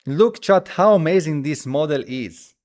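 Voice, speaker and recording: A man who speaks as a hosts a casual live coding stream, thinking aloud haltingly and repeating his phrases as he demonstrates. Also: a little high, expressive, a little mellow. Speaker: livestream host.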